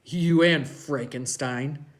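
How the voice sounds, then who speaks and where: A big-bellied, tattooed gang boss from an audio novel, as a woman narrator plays him. He is mocking and menacing, with curt, slangy bullying in a lowish voice. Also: slow.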